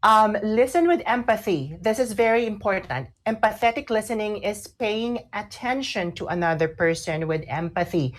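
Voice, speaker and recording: Filipina lady radio host, medium pitch, casual chatty explaining, repeats stuff for emphasis. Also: animated, moderate pace, hardly any pauses. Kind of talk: casual talk show chat, mixing English and Tagalog.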